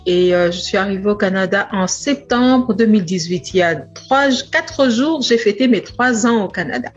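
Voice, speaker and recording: A young woman from the Democratic Republic of the Congo with a Congolese accent, giving a measured personal testimonial with some halting repeats. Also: medium pitch, animated. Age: young adult. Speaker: entrepreneur, grocery store owner. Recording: dull lo-fi sound, faint music.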